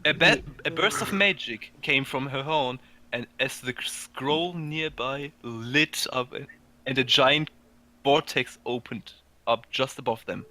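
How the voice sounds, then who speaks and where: Forceful man narrating, stumbling as he reads. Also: medium pitch, rough recording. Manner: halting, stumbles over words and re-reads. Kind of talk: amateur fan fiction narration.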